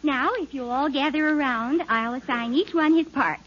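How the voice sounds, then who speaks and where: An actress playing a sweet, managing young housewife with a chirpy, placating manner in a 1940s radio comedy. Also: female voice, high voice, moderate pace, fairly bright tone. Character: sweet, bossy young housewife smoothing things over. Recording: muffled vintage radio recording with faint noise.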